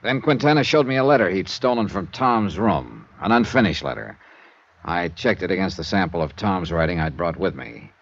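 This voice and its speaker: World-weary radio investigator, wry, laconic, low, dull old recording. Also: male voice, lively intonation, moderate pace, vocal fry, full character voice. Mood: subdued. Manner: wry, laconic first-person narration. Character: hard-boiled male insurance investigator, wry, world-weary. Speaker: narrator and lead character. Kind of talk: 1950s radio drama acting.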